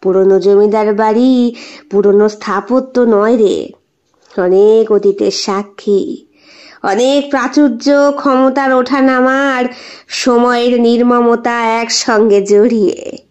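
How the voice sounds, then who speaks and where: A woman voicing a nostalgic old grandmother who reminisces slowly and wistfully in an audio story. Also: a little high, steady tone, darkish tone, lo-fi sound. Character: nostalgic old grandmother recalling her ancestral mansion.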